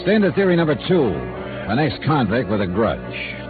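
An actor playing a dry, skeptical insurance investigator who narrates a 1950s radio drama. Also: male voice, mid-range voice, wildly swooping pitch, moderate pace, over loud music. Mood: narrating. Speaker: narrator and lead. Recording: tinny old radio transcription.

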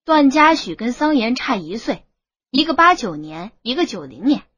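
A woman's voice: a little high, sing-song, moderate pace, fairly bright tone, husky, no room sound. A woman narrating a romance novel as a scripted audiobook.

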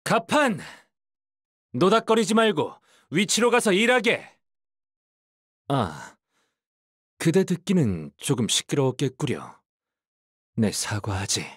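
A man voicing a courteous ship's first mate in a video game, speaking in formal, old-fashioned, gentlemanly phrases. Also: a little high, sing-song, breathy edge, dry recording, studio quality, heavily acted. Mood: commanding, then apologetic. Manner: archaic, gentlemanly, measured phrasing. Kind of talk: video game character voice lines.

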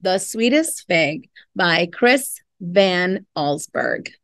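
A woman's voice: fairly low pitch, expressive, drawn-out words, a bit bright, dry recording, studio quality. A middle-aged woman, a teacher reading a picture book aloud with chatty, friendly asides to young listeners. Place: indoors in a classroom.

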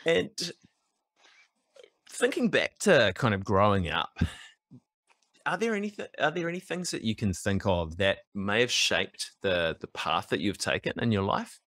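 A New Zealand man in his thirties hosts a podcast over a video call, asking friendly, matey questions. His voice is very low, and the sound is dry and close-miked. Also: very animated, measured pace, fairly bright tone, dry recording. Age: 30s. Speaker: podcast host. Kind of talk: casual interview chat. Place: indoor room. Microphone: headset on a video call.

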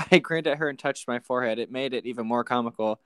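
A man's voice: mid-range voice, animated, a little fast, a bit bright, smooth, no echo. A man co-hosting casually, reading a book aloud with some banter among friends.

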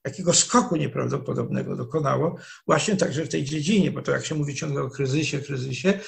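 An older man with a strongly gravelly, somewhat high-pitched voice explains history like a university lecturer. He is measured and digressive, often restarting phrases and correcting himself mid-sentence. He speaks through a webcam call from a dark, wood-panelled study. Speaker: professor, interview guest. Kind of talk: explanatory academic talk. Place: study with dark wooden shelves, indoors.

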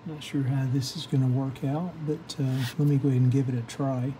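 Male how-to host, soft dark tone, methodical and hesitant, unscripted bench demo, some background noise. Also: mid-range voice, level tone, a little fast, low-quality recording. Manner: hesitant, methodical step-by-step explaining. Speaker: host of a radio repair how-to channel. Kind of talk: unscripted hands-on workshop demonstration. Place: indoor home workshop bench.

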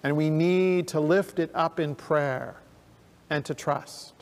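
An older male minister delivers his sermon at a slow, unhurried pace, his voice sitting a little high for a man. He speaks earnestly with deliberate pauses into a pulpit mic, and the sound rings out with the long reverb of a church sanctuary. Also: steady tone, a little mellow. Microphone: pulpit microphone.

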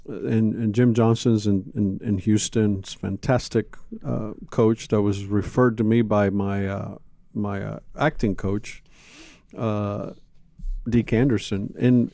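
A man's voice: fairly low pitch, gravelly, mellow, no echo. A man, talking casually and reflectively as an interview guest, close on a studio microphone. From Cuba. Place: small indoor studio.

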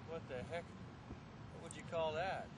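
A man's voice: fairly high pitch, normal speed. A man casually narrating his shore fishing trip in an outdoor harbor park, talking in an easygoing, chatty way with frequent fillers. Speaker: fishing vlogger, ship crew member. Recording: outdoor recording with loud wind noise.

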